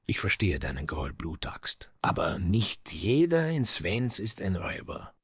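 An actor playing a somber, proud dwarf smith in a fantasy audio drama, grave and dramatic with breathy, hushed phrases and a husky voice. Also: male voice, low voice, sing-song, measured pace, dry recording, clean studio sound, full character voice. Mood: grave, reflective.

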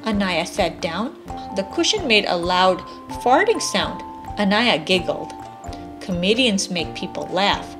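Woman, thirties, children's read-aloud, expressive, animated pitch, clear music in background. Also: a little slow. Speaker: storybook reader, parent.

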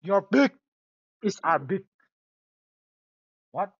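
Young male host, Russian accent, excitable and joking, slightly high voice. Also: drawn-out words, slightly rough, no echo, highs cut off. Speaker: channel host. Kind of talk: casual prank chat on a voice call. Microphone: phone on a voice call.

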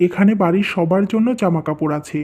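A devoted young husband from a family cartoon, played by a man. His voice is mellow and rounded, and he talks earnestly and dutifully. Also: high-pitched, quick pace. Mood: warm, earnest.

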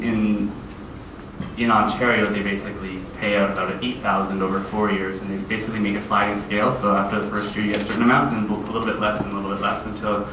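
A man in his thirties walks through policy numbers in a low, flat voice. He is picked up from a distance in a large hall with strong echo. Clear room noise sits behind him. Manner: explanatory, comparing figures. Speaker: politician on a panel. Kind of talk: panel debate answer. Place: large hotel meeting room. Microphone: handheld mic. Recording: far-off echoey hall with noise.

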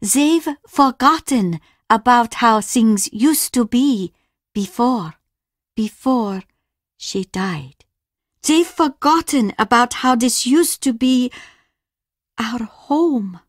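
Older Japanese mother, grieving and courteous, formal video game dialogue, slightly high voice, unhurried, dry recording. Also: expressive, no room sound. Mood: mournful, defensive. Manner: formal, measured, careful delivery.

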